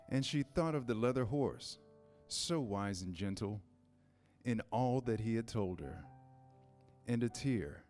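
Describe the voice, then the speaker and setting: A man's voice: on the low side, darkish tone. A man reading scripted storybook narration in a staged reader's theater style.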